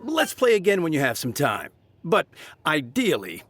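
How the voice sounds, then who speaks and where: A man's voice: fairly high pitch, huge pitch swings, moderate pace, a bit bright, no echo, faint music behind, full character voice. A man voicing a courteous, dutiful guardsman in a video game, polite and earnest. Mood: gracious. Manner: polite, formal.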